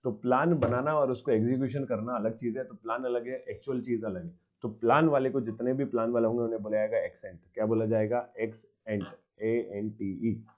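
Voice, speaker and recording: A man's voice: mid-range voice, steady tone, a little fast, lo-fi sound. A male commerce teacher giving a recorded exam-prep lecture, explaining step by step and answering his own questions. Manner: mixes English terms into his speech.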